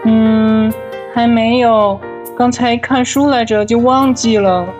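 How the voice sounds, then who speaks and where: High childlike voice, woman acting young little brother, cheeky, affectionate, audio drama, faint music. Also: steady tone, slow pace, a little mellow. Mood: sheepish, grumbling.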